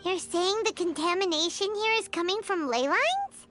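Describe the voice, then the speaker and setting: A small female fairy companion from a video game, curious and naive. The voice is squeaky, childlike and extremely high, with swooping, exclamatory delivery. Music runs underneath. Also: lively intonation, normal speed. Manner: exclamatory, inquisitive. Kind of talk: video game voice acting, scripted dialogue. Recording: game audio with background music.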